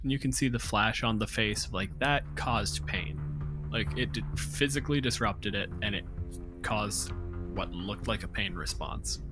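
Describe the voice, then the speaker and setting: A man's voice: on the low side, normal speed, music in the background. A man casually narrating a tabletop game as game master in a conversational, explanatory way.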